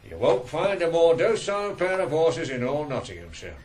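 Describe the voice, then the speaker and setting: Patient countryman, instructive and measured, slightly high voice; faintly noisy radio drama. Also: big pitch swings, darkish tone. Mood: calm, instructive. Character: patient countryman teaching horse work. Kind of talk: 1980s radio drama acting.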